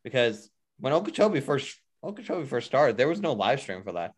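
A man's voice: mid-range voice, very animated, fairly quick. A man co-hosting a casual podcast chat, rambling with lots of fillers and restarts. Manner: rambling, filler-heavy, repeats himself. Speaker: podcast co-host.

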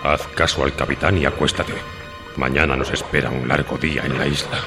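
An actor playing a cunning, commanding pirate sea cook in a Spanish radio drama, scornful and domineering. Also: male voice, extremely low pitch, steady tone, brisk. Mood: coaxing. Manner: scornful, domineering, coaxing with the boy. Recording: radio drama with loud music behind.

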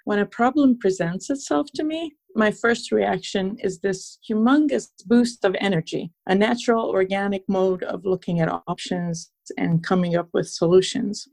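A woman from Transylvania, Romania, speaking earnestly and in measured, articulate phrases as a podcast guest, reflecting in an interview. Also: mid-range voice, gravelly, darkish tone.

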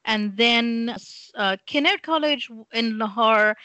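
A woman's voice: fairly high pitch, measured pace, pushed and bright, no room sound, muffled recording. Thoughtful woman from Pakistan, dry sound. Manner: thoughtful, many fillers and restarts, ends points with "right?". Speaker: interview guest. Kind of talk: reflective conversational interview.

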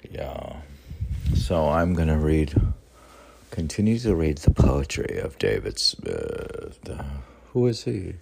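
A man reading his own poetry, with rambling casual asides in an unhurried, halting, wry way. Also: very deep voice, sing-song, creaky, a little mellow, breathy edge. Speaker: poet reading own work.

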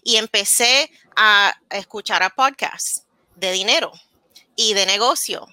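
A woman's voice: mid-range voice, takes it slowly, sharp tone, no room sound. A woman in her thirties from New Jersey, telling her story candidly in a casual interview and slipping into words, her language. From New Jersey, United States. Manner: switches into English words mid-sentence. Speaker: interview guest.

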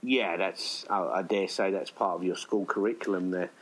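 Brit guy, lowish voice, just chatting and rambling on about his writing. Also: fairly even intonation, normal speed, slightly rough, rough recording. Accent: British. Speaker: audio play writer. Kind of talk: casual conversation.

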